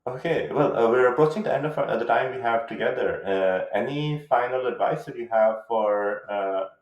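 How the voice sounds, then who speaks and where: A man's voice: medium pitch, normal speed. A man hosting an interview, asking questions hesitantly with many fillers. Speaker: interview host. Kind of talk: conversational interview. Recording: roomy, dull sound.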